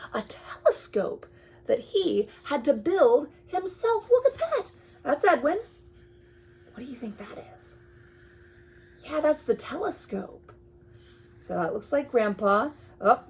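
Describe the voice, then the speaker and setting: A woman reads a picture book aloud to a young child in drawn-out phrases, often dropping to a hushed tone and adding chatty asides. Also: high-pitched, creaky, slightly rough. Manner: addresses the child with questions and pointers. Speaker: storyteller reading to a young child.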